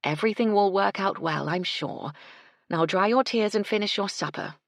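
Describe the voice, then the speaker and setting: A woman voicing a kindly, firm lady in charge of a household, speaking in a measured, genteel and reassuring manner. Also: mid-range voice, gravelly, dry recording, voice pushed up.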